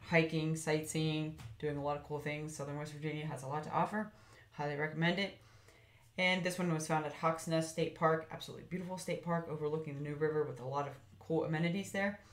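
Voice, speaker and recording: Low-voiced woman narrating plant slides, echoey. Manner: chatty, meandering, with fillers and self-corrections. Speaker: foraging channel host. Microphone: voice-over over slides.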